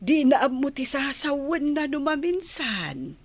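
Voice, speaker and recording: A woman acts as a patient older mother and grandmother with an Ilocano accent. She talks slowly, with wide melodic swings. It is radio drama, recorded dry and close. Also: high voice, sing-song, no echo. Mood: apologetic. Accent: Filipino, Ilocano.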